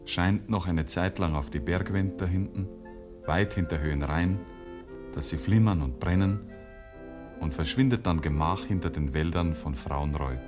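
An actor as a homely, wry village storyteller, narrating a 1950s radio play with a Bavarian accent. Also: male voice, low voice, normal speed, music in the background, close to an everyday voice. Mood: unhurried, descriptive.

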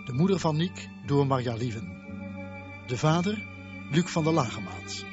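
A man's voice: medium pitch, lively intonation, moderate pace. A man working as a closing announcer, reading scripted credits for a radio play. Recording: dull radio recording with music behind.

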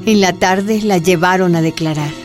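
A woman's voice: on the low side, moderate pace. A woman delivering dramatic scripted narration for a radio drama. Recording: music bed behind the voice.

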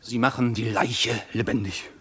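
An ambitious castle lord for a radio drama, proud and easily agitated. His voice is fairly low and husky, with an imperious, theatrical delivery. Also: fairly even intonation, normal speed. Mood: agitated disbelief. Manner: imperious, theatrical, swelling from hushed to commanding.

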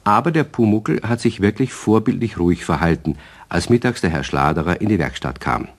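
A man's voice: sits low, expressive, a little fast. A man narrating a German children's radio play as a wry storyteller, with scripted phrasing.

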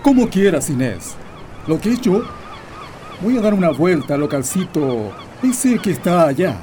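Radio play: dismissive, restless Chilean husband acted by a man, expressive pitch swings, loud background noise. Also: unhurried. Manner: brusque, dismissive. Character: curt, dismissive husband Don Manuel, unbothered and restless. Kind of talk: Spanish-language radio drama acting. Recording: noisy outdoor ambience with bird calls.

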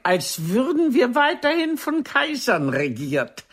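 Self-important ageing star actress, husky voice, very lively intonation, theatrical, imperious, radio play. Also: female voice, on the high side, slow pace, heavily acted. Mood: probing, superior.

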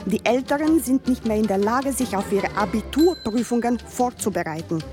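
A woman's voice: a little high, lively intonation, normal speed, music in the background, natural voice. An actress playing an exasperated woman reading out a formal, pleading letter of complaint in a radio drama.

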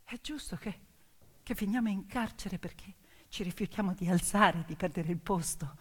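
A woman performing dramatic theatrical storytelling in an emphatic, declamatory manner, with deliberate pauses and repetitions. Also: mid-range voice, breathy edge. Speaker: stage storyteller. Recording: piano music behind the voice. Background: piano accompaniment.

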